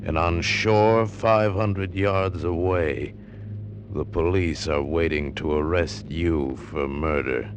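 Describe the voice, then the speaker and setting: Very deep, ominous radio man. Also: fairly even intonation, background noise. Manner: ominous, deliberate. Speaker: radio trailer narrator. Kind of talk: suspenseful vintage radio teaser, second-person narration. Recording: old radio broadcast with music behind.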